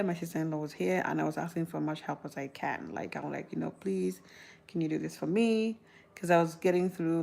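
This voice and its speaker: South sudanese woman in her 30s vlogging, lowish voice with some gravel, laughs a lot. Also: a little mellow. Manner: chatty, laughs often, rambling restarts. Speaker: vlogger answering viewer questions. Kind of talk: casual talk to camera.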